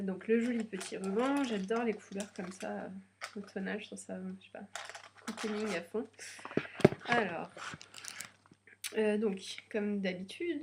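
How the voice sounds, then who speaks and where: A woman's voice: mid-range voice, a little mellow, slight background noise. A young woman with a slightly stuffy nose from a cold, chatting hesitantly with many fillers as she unboxes beauty products. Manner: hesitant, many fillers, nervous first video. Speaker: beauty vlogger. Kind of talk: casual unboxing chat.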